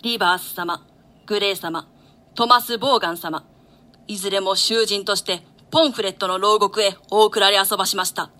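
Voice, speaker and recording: Courtly servant played by a woman: forceful bright tone, solemn, deferential, very formal archaic wording. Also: mid-range voice, fairly even intonation, brisk, lightly acted. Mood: respectful, solemn. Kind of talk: classical stage play reading.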